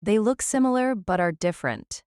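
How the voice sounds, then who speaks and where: A woman acts a brash warrior queen in an audio drama. The voice is smooth and clear, recorded dry and close, with a curt, commanding delivery that relishes battle. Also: medium pitch, expressive, normal speed, darkish tone, no echo, natural voice. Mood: confident. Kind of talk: fan audio drama acting.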